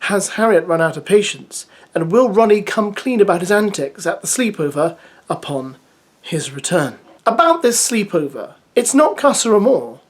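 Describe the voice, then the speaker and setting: A vlogger recaps a dating show. The delivery is chatty and opinionated, with exasperated asides. The voice sits in a middling pitch. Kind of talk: reads an episode preview, then chats with opinions.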